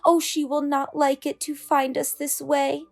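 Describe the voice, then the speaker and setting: A woman plays an anxious little fish in a children's storybook. The voice is very high, urgent and alarmed, insisting and scolding as it frets about trouble. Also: little pitch movement, measured pace, gravelly, faint music behind. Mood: panicked. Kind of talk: children's storybook read-aloud.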